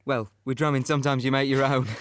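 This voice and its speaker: A man's voice: mid-range voice, no room sound, clean studio sound, close to an everyday voice. A man playing a chirpy working-class young infantryman with a South London accent, chatting about his life in an audio play. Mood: amused, fond. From Bermondsey, London. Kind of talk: audio play monologue, conversational reminiscence.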